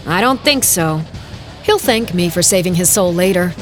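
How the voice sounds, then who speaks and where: An actress playing a brash, wry, defiant young heroine in an audio drama, narrating and speaking in character. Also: female voice, fairly low pitch, expressive, moderate pace, lightly acted. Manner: wry, dramatic first-person telling. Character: brash half-fae heroine, defiant, wry, gun in hand. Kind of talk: full-cast audio drama narration and dialogue. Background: music.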